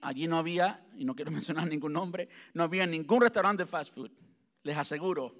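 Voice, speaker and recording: A man's voice: on the high side, a little fast, fairly bright tone. A man from Cuba with a Cuban Spanish accent, preaching a sermon as pastor and driving his points home with rhetorical questions and repetition.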